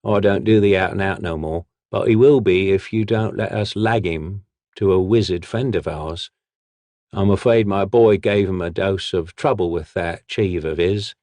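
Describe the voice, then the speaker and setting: A man's voice: deep voice, normal speed, a little mellow, dry recording. Hulking, drawling, politely menacing thug for a storybook, voiced by a male narrator. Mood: gruff.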